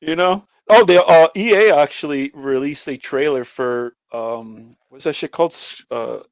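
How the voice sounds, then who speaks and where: A man's voice: on the high side, unhurried, no room sound. Male podcast co-host, slangy, freely swearing, casual banter, dry close sound.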